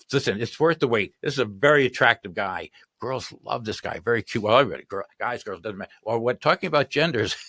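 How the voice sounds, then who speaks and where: A man's voice: on the low side, sharp tone, no room sound. An older man hosting a casual studio interview, rambling and repeating himself as he talks. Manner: rambling, repeats words, laughs often. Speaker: host. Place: small studio with colorful backdrop. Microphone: studio mic on arm.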